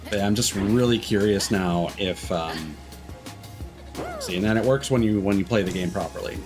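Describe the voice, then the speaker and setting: A man's voice: lowish voice, normal speed. A man doing casual live game commentary, rambling candidly and swearing offhandedly. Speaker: game streamer. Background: game music.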